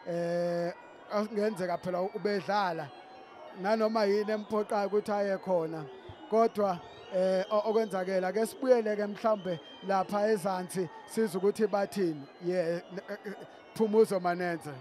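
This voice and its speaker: A man's voice: high-pitched, fairly even intonation, normal speed, a little mellow, faint music behind. A man commentating on a match in Zulu, stammering and repeating syllables as he goes.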